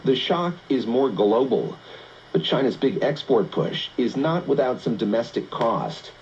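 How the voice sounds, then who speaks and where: Man, radio news host, medium pitch, interviewing and narrating, dull AM sound. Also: darkish tone. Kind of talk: interview questions and scripted narration. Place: played through a shortwave receiver speaker. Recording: dull AM radio, faint static.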